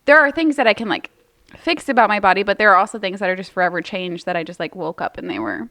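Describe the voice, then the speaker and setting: A woman's voice: mid-range voice, lively intonation, fast talker, fairly bright tone, no echo. A woman in her twenties co-hosting a podcast, talking casually and rambling with lots of fillers. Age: 20s. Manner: chatty, rambling with many fillers. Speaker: podcast co-host. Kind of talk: casual chat.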